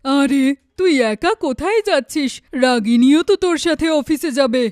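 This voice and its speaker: Woman voicing a stern high-pitched cartoon mother. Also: expressive, moderate pace, a little mellow, no room sound, studio quality. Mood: scolding. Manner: scolding, indignant. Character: stern, protective mother scolding her grown daughter. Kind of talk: cartoon dialogue.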